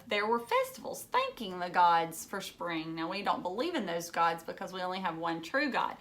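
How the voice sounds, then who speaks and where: A woman's voice: medium pitch, bright, forceful tone. Woman reading a picture book to children. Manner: explains simply, addressing young listeners directly. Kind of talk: read-aloud storybook narration with chatty asides.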